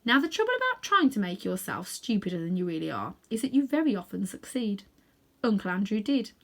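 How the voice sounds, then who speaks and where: A woman narrating a children's storybook aloud in an expressive reading style. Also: mid-range voice, brisk. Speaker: storybook reader.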